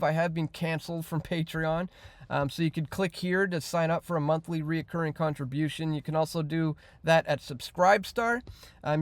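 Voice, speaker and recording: A man giving off-the-cuff news commentary as an independent host, reading out headlines in a halting but emphatic way. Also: on the high side, dry recording.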